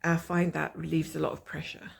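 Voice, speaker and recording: A woman with a croaky voice from a cold, chatting casually and rambling as she shows her cross-stitch progress from home. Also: deep voice, level tone, fairly quick. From Scotland. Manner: rambling, chatty, self-mocking.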